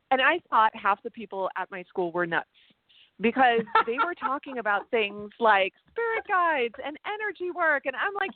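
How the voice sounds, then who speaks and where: A German-born woman whose first language is German, speaking English without an accent as an interview guest, telling animated stories and restarting her sentences often. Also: a little high, no echo. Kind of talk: casual interview chat. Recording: tinny phone-like line.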